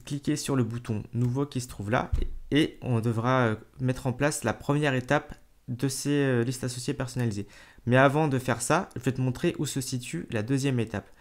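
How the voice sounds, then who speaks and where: Casual man explaining software. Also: medium pitch. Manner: casual, addresses viewer informally, frequent fillers and self-corrections. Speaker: tutorial host. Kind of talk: screen-recorded software tutorial.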